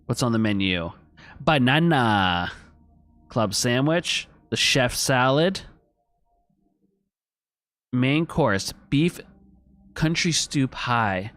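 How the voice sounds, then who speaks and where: Man, game streamer, casual running commentary to viewers, mid pitch, dry close mic. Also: animated, very slow, long pauses, fairly bright tone, dry recording. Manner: thinks aloud, chats to viewers, reads on-screen text. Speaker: gaming streamer. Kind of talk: casual let's-play commentary.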